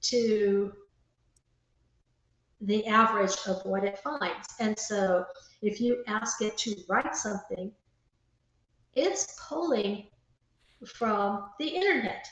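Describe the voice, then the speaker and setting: A woman guest in a casual conversation, explaining her thoughts haltingly, with pauses between phrases. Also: mid-range voice, fairly bright tone. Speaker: interview guest, writer. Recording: very echoey, roomy sound.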